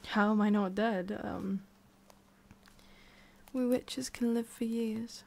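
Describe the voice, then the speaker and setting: A woman playing a centuries-old forest witch in an ASMR roleplay, haughty but secretly soft, speaking in a halting, musing way with a prickly edge. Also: medium pitch, steady tone, creaky. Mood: disdainful. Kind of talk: ASMR roleplay, addressing the listener.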